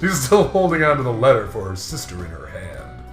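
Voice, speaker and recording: A commentator reading a visual novel aloud with casual, jokey banter in an animated manner. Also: mid-range voice, a little fast. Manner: animated, jokey, breaks into laughter often. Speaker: let's play commentator. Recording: roomy echo, music behind the voice.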